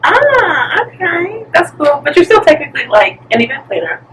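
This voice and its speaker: A woman hosts a casual interview show in a chatty, giggly way. Her pitch sits a little high. She sounds set slightly back from the microphone. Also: very animated, normal speed, fairly bright tone, distant mic, a little hiss or hum, low-quality recording. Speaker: talk show host interviewing entrepreneurs.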